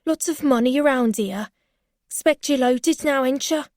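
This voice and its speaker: A woman's voice: high-pitched, normal speed, a little mellow, no room sound, studio quality, pitched above the performer's own voice, natural voice. A woman voicing a sly young barman. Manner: casual, wheedling, slangy.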